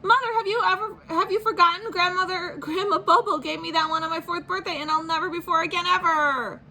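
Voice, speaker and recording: A woman's voice: very high-pitched, steady tone, a little fast, bright, forceful tone, a little hiss or hum, voice pushed up, full character voice. A woman voicing a dramatic seven-year-old boy who pleads and bargains over his toys. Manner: whiny, theatrical pleading. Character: dramatic young boy, about seven, toy-loving.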